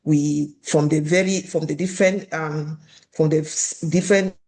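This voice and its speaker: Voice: mid-range voice, steady tone, unhurried, darkish tone. An advisory board chair from Cameroon gives acceptance remarks, speaking with many restarts.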